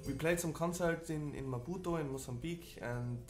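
A man from Switzerland or Austria, a jazz musician, explaining in a relaxed way in an interview and often trailing off softly. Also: a little high, a little fast, loud music behind the voice. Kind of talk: casual interview.

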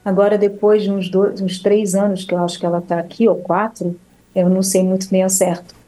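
A Brazilian woman, a singer who leads choirs, talking in a relaxed, rambling way in an interview, with many restarts and tag questions. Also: medium pitch, a little fast. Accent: Brazilian Portuguese. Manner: warm, rambling, with restarts and frequent "né?". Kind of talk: casual interview talk.

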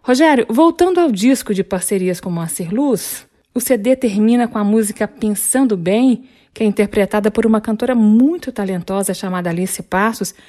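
A woman hosting a radio program, introducing songs and guests in a measured way. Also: mid-range voice, mellow.

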